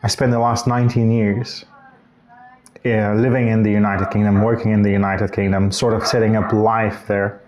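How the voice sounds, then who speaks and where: A man's voice: on the low side, flat delivery, moderate pace, a little mellow, slight background noise. Reflective man rambling through a vlog. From Bulgaria. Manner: reflective, rambling with restarts and self-corrections. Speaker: vlogger. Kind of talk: casual talking-head vlog.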